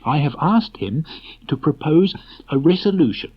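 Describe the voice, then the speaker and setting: An officious rabbit from a children's storybook, acted out by a male reader, with a raised, high voice. He makes brisk, bossy announcements, and the sound is a slightly dull cassette transfer. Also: lively intonation, breathy edge. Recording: muffled old cassette tape transfer.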